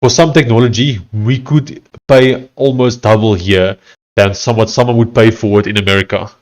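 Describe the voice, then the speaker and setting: Afrikaans-accented man, lowish voice. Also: steady tone. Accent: South African, Afrikaans. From South Africa. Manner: conversational, reads text aloud haltingly. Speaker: livestreaming coder. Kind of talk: casual livestream chat, reading chat and AI output aloud.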